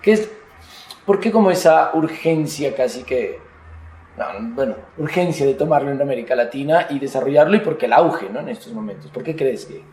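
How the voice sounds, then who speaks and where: A man's voice: medium pitch, animated, fairly quick, darkish tone. A man interviewing a writer in a conversational way, tentatively reshaping his questions as he goes. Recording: roomy echo, faint background noise.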